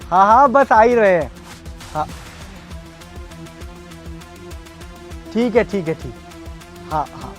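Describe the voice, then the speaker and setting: A man's voice: high-pitched, big pitch swings, moderate pace, fairly bright tone. A man acts out a stern policeman and protective older brother in a melodramatic moral-story skit. He sounds suspicious and commanding, with faint music behind his voice. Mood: distracted, anxious. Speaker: actor in a moral-story video. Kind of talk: amateur melodramatic skit acting.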